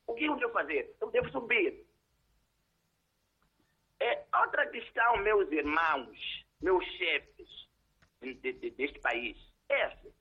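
A man's voice: high voice, lively intonation, slow pace, long pauses, slightly rough. A man with a Mozambican Portuguese accent, a non-native speaker, calling in to a radio show and speaking hesitantly, then emphatically. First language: a local language, not Portuguese. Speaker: caller. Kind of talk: call-in radio comment. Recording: tinny phone line.

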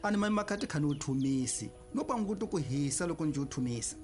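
A man's voice: a little high, lively intonation, brisk, a little mellow, soft background music, lightly acted. An actor playing a villager in a radio drama spot who explains his situation conversationally and thanks his neighbor. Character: villager grateful for his mosquito net.

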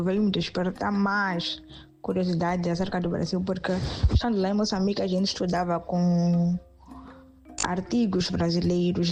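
A woman's voice: fairly low pitch, vocal fry, mellow. A young woman from Mozambique with a Mozambican Portuguese accent, a university student answering interview questions in a thoughtful way, often adding little tag questions. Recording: faint music in background.

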